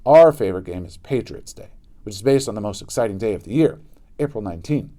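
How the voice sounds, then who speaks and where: A man narrating a children's book aloud in an expressive style with dramatic emphasis. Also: medium pitch. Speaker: storybook reader.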